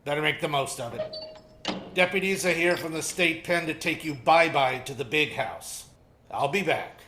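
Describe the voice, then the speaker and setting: An actor playing a brusque, taunting jail guard with curt slangy orders, in a 1930s radio drama style. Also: male voice, on the high side, moderate pace, pushed and bright. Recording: echoey room with background noise.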